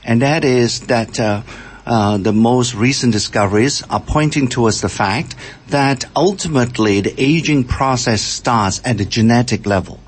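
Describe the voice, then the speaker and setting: An older man from Malaysia, a scientist and interview guest, explaining his points with halting starts. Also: mid-range voice. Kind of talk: radio interview answers.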